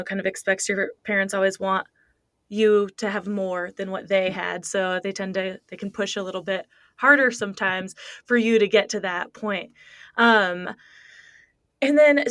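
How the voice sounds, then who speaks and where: A woman's voice: medium pitch, a bit bright, no room sound. A woman hosting an interview, talking casually and rambling a bit with lots of "like". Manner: casual, rambling, heavy use of "like". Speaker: podcast host.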